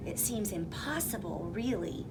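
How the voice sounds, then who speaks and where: A woman at an elementary school reading a picture book aloud, expressive and dropping to a whisper for suspense. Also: mid-range voice, a little slow. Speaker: reader. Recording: recording with clear background noise.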